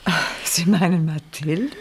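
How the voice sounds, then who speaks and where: An actress playing a composed, worldly French noblewoman in a German radio play, with a measured, genteel manner. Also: fairly low pitch, slight background noise, lightly acted. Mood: confiding, probing.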